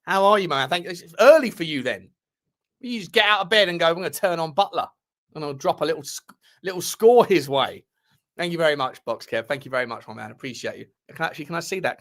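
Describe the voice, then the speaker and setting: A man hosting a live football watchalong, hyped and playful as he chats and shouts out viewers. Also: fairly high pitch. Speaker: fan channel host.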